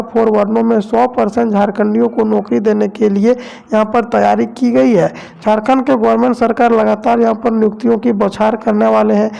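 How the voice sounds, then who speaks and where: A man's voice: high-pitched, vocal fry, a little mellow. A man narrating scripted regional news in Hindi.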